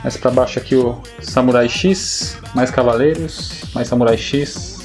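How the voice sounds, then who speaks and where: A young man with a Brazilian accent who hosts a casual vlog and talks in an enthusiastic, informal way, often tagging on quick questions. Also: mid-range voice, darkish tone, soft background music. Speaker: YouTuber host.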